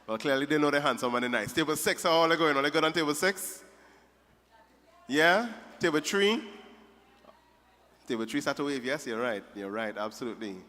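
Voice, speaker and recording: A man's voice: on the high side, animated, fairly quick, pauses often, sharp tone. A man emceeing a wedding reception, playfully teasing the guests table by table. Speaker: master of ceremonies. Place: large reception hall. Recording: reverberant hall.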